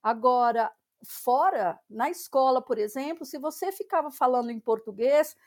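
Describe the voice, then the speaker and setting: A woman's voice: high voice, lively intonation, moderate pace, darkish tone. A Brazilian woman hosting a podcast, chatting casually and sharing her own experience. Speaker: podcast host. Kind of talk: casual interview conversation.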